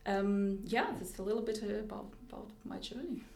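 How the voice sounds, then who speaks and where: A woman's voice: medium pitch, normal speed, darkish tone, roomy sound. A woman with a Russian accent, a tech executive guest on a podcast, speaking thoughtfully with many restarts and repeated words. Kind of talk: podcast interview.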